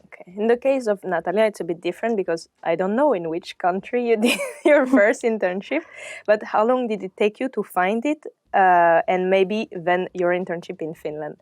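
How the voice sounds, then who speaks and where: A woman's voice: mid-range voice, moderate pace, darkish tone, dry recording, studio quality. A woman hosting a podcast interview, friendly and laughing between questions. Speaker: podcast host.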